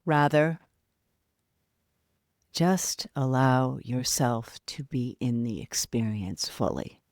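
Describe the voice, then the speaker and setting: Slow, soothing woman guiding relaxation. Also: extremely low pitch, expressive, no echo, clean studio sound. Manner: soft, soothing, unhurried with long pauses. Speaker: yoga nidra guide. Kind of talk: guided relaxation meditation.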